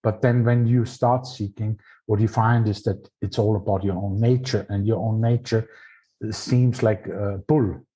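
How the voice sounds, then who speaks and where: A man's voice: fairly low pitch, steady tone, unhurried, dark tone, slightly rough, low-quality recording. A man with an Austrian accent, speaking thoughtfully and searching for words in English. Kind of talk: casual spiritual conversation.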